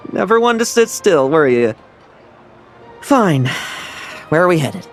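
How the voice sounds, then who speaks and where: A man's voice: a little high, sing-song, slow, soft background music, exaggerated voice. A man voicing a dry, wry, reluctant knight in a game cutscene.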